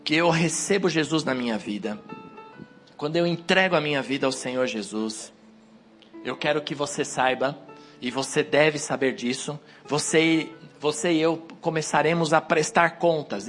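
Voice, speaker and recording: Hoarse guy preaching into a handheld mic, kinda high voice, soft music underneath. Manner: exhorting the congregation, rising to emphatic peaks. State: hoarse with a cold. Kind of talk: sermon. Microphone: wireless handheld mic.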